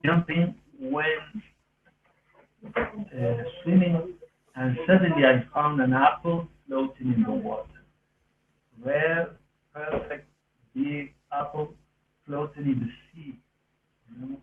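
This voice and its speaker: A man's voice: fairly high pitch, lively intonation, slow pace, pauses often, a little hiss or hum. Podcast storyteller: male, Argentinian accent, native Spanish, earnest and meandering, thin phone-like audio, far from the mic. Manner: earnest, rambling, non-native English phrasing. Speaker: podcast speaker. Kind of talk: casual autobiographical storytelling. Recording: roomy, distant, phone-like thin sound.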